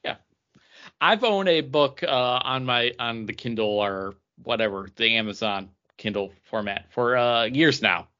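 A man with a bright, forceful voice and lively pitch chats casually as a podcast co-host. His phrasing is halting, and the audio is slightly lo-fi. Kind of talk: casual comic book podcast chat.

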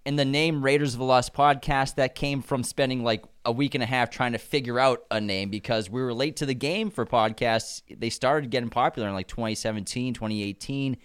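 A man's voice: medium pitch, a little fast, few pauses, clear, resonant tone, no room sound, clean studio sound. A man with a nasal voice from the United States, chatting energetically as a guest on a podcast. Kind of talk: casual chat.

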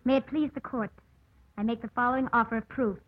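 A woman's voice: fairly high pitch, steady tone, fast talker, close to an everyday voice. An actress playing an earnest, composed woman lawyer in a vintage radio drama, speaking formally and emphatically. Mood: firm, formal. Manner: formal, emphatic courtroom oratory. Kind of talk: 1940s-50s radio drama acting. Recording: muffled old radio broadcast.

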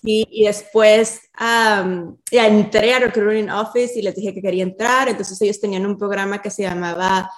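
A woman's voice: mid-range voice, steady tone, moderate pace, few pauses, roomy sound. A woman from Mexico in her thirties with a Mexican accent, speaking as an interview guest in casual talk, slipping English terms in among hesitant fillers. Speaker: army officer guest.

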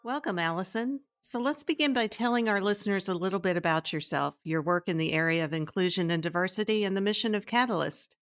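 A woman's voice: medium pitch, fast, hardly any pauses. A woman hosting a podcast, asking questions in a polite, encouraging way. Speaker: podcast host. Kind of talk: interview questions.

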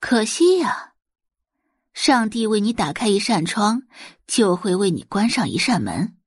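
Expressive female story narrator, lively intonation. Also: mid-range voice, unhurried, slightly rough, no room sound, studio quality. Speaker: audiobook narrator. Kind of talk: scripted dramatic story narration.

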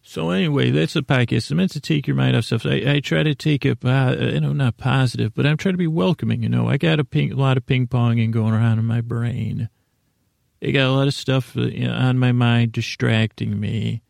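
A middle-aged American man with a very creaky, gravelly voice, recorded dry and close without room sound. He hosts a bedtime podcast, wandering from thought to thought. He stammers, doubles back and gently puts himself down as he goes. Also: mid-range voice, a little fast, no echo. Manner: meandering, stammering, self-deprecating, keeps interrupting himself. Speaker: podcast host. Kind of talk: rambling bedtime sleep podcast monologue.

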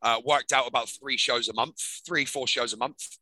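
A man's voice: medium pitch, steady tone, moderate pace, bright, forceful tone, dry recording, poor audio. An English man in his thirties from England, a wrestler telling his story candidly in a casual interview, with lots of ums. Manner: frequent ums, candid and chatty. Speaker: guest, professional wrestler.

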